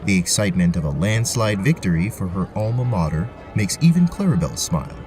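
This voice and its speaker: A man's voice: low-pitched, very animated, fairly quick, a little mellow, soft background music. A man narrating a scripted tabletop fantasy story as its storyteller. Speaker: narrator, game master.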